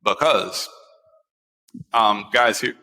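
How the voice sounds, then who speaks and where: Echoey, bright-voiced American man in his forties. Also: fairly low pitch, extremely slow. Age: 40s. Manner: animated, repeats phrases for emphasis. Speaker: talk show host. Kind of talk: casual chat, personal storytelling. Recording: echoey, long room reverb.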